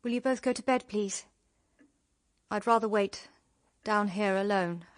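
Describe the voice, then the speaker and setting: An actress with a British accent playing an earnest, troubled young woman, gentle and restrained, in a radio drama. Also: mid-range voice, measured pace, close to an everyday voice. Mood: anguished, reluctant. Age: young adult. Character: earnest, troubled young unmarried sister, self-sacrificing and gentle.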